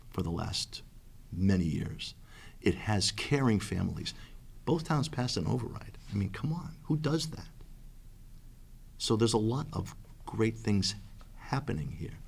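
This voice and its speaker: A man answering as a candidate at a school committee meeting, enthusiastic but halting, with restarts. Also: low-pitched, vocal fry, husky. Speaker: interim superintendent candidate. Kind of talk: public interview answer.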